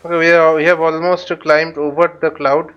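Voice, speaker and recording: A man giving live tutorial commentary as he flies a simulator, speaking haltingly and often repeating or rephrasing himself. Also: on the high side, little pitch movement, fairly bright tone, clean tone, highs cut off. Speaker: flight simulator instructor and channel host.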